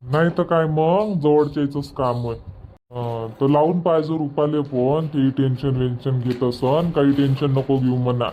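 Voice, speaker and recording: A man's voice: medium pitch, fairly even intonation, moderate pace, muffled recording, a little hiss or hum, close to an everyday voice. A man voicing a village father and husband in a comedy cartoon, talking colloquially in Varhadi Marathi and repeating his points. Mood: concerned. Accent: Varhadi Marathi from Vidarbha.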